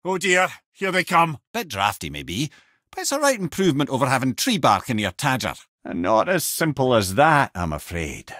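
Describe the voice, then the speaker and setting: A wry old scottish sage for a video game, really animated storyteller, close dry sound. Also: male voice, medium pitch, moderate pace, dry recording. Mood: alarmed, then wry, knowing. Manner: eloquent, wry storyteller with colourful old-fashioned turns of phrase. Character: wise, wry, talkative severed head of an old sage. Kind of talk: video game character lines, storytelling and banter.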